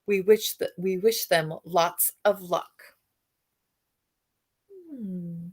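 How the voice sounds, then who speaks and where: A woman reading a picture book aloud to children, expressive, with soft musing questions for young listeners. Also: fairly low pitch, very slow. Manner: expressive reading, alternating with softer musing asides and hums. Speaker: read-aloud storyteller for children.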